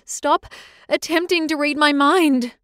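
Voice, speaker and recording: A woman's voice: fairly high pitch, normal speed, a little mellow, no room sound, clean studio sound, close to an everyday voice. A woman voicing a heartbroken young female werewolf who pleads and lashes out in tearful outbursts, in an audiobook. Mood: angry. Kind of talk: audiobook character voice.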